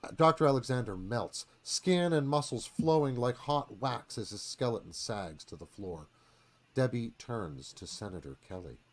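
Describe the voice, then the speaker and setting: A man's voice: mid-range voice, expressive, normal speed. A man giving a dramatic scripted narration, reading stage directions as narrator.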